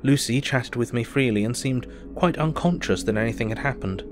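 An actor playing an earnest, weary Victorian doctor, narrating his diary in a measured, formal, reflective way. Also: mid-range voice, faint music behind, lightly acted.

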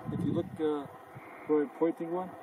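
A man's voice: on the high side, fairly even intonation, normal speed, warm tone. An older man on a mountain hike, chatting casually and rambling with many fillers, filmed from a few steps away on a forest road. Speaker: hiker and backcountry explorer. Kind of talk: casual outdoor commentary. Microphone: camera held by his brother some distance away. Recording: distant outdoor voice with noise.

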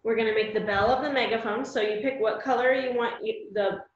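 A woman's voice: fairly high pitch, fairly even intonation, a little fast, roomy sound, dull audio. An American woman in her thirties giving plain, simple step-by-step craft instructions as host of a children's video, recorded at home across a table. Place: small room at home, indoors.

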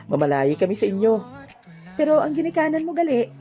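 A woman's voice: a little high, sing-song, a little fast, mellow, faint music behind. A woman playing a cheerful village sweetheart in a comic audio drama, with a Hiligaynon accent. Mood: playful, affectionate.

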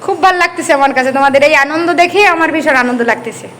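Very high-voiced Bangladeshi woman teacher, noisy room. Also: racing, fairly bright tone. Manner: coaxing, directing children, often asking questions. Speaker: schoolteacher hosting a class party. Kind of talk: casual chat with young children. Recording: noisy room with children's chatter.

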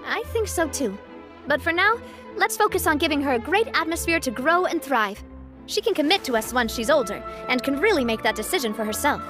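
A woman's voice: sits high, expressive, fairly quick. An actress playing a gracious, confident young lady boss in a video game, elegant and sincere, with music behind her. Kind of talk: video game character acting.

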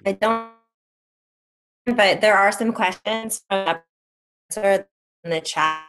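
Woman, Q&A moderator, medium pitch, casual delivery, video call. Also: takes it slowly, music in the background. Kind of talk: casual reading out of chat questions.

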